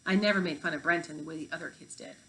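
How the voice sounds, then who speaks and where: Woman playing a prim, high-achieving schoolgirl. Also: racing, a bit bright, rough recording. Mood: awed. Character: earnest, prim, judgmental high-achieving fifth-grade girl.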